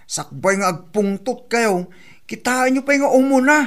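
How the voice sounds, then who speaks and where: A woman's voice: fairly high pitch, unhurried, natural voice. A woman playing a put-upon member of a household, answering back in an exasperated way in a comedy radio drama. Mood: protesting.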